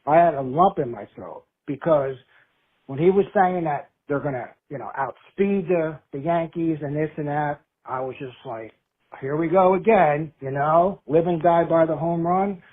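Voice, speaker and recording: A man's voice: on the high side, normal speed, husky, phone-quality sound. An American man from New Jersey chatting casually as a fan on a phone voicemail. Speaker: caller leaving a voicemail. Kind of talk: casual chat.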